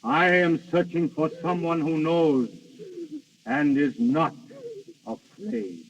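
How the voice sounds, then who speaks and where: An actor playing Death in princely human guise, grandiose, yearning and imperious, in a 1930s radio drama. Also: male voice, on the high side, slow pace, creaky. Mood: commanding, scornful. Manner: theatrical, imperious, brooding. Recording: muffled 1930s radio recording.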